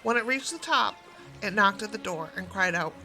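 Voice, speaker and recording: A woman storyteller giving an expressive, scripted narration of a fairy tale. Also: mid-range voice, moderate pace. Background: music.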